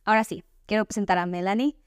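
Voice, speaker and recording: A woman's voice: mid-range voice, fairly even intonation, fast talker, smooth, no echo, studio quality. A woman with an Ecuadorian accent hosting a podcast in a conversational style. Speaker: podcast host.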